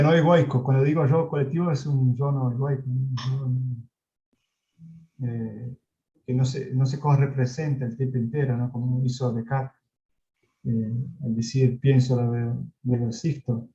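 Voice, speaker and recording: A man, a professor being interviewed, talking reflectively and hesitantly, with many pauses and restarts, over a video call. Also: medium pitch, mellow. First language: Spanish. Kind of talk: reflective academic conversation. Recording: dull lo-fi video call audio.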